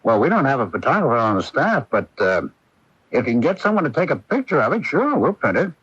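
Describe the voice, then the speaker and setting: A man's voice: mid-range voice, very animated, fast talker, fairly bright tone, breathy edge, no echo. An actor playing a genial, teasing small-town newspaperman with a breezy, folksy manner in a 1980s radio drama. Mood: breezy, amused. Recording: dull, lo-fi 1980s radio broadcast.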